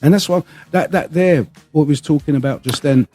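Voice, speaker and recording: A male podcast host who grew up speaking Greek first. His voice is dark and rough-edged at a middle pitch. He talks casually and openly, pausing to find his words, with faint music behind him. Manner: halting, candid, searching for words. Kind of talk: casual panel chat.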